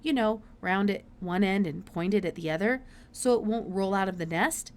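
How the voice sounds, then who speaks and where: A woman voicing a brisk, curious, absent-minded older male scientist in a storybook, with hesitant mutters and emphatic exclamations. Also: mid-range voice, close to an everyday voice.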